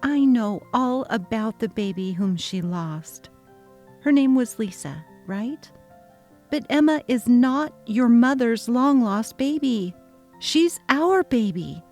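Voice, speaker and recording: A woman's voice: a little high, animated, takes it slowly, a little mellow, soft background music. A young woman voicing an exhausted new mother, speaking earnestly and pleading. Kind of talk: dramatic reading of a text-message story.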